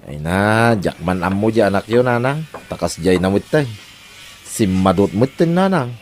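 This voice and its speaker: A man's voice: lowish voice, animated, normal speed, close to an everyday voice. Man acting a domineering Ilocano husband, noisy recording. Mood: grumbling. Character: domineering, grumbling, cruel husband Fred. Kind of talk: Ilocano audio drama acting.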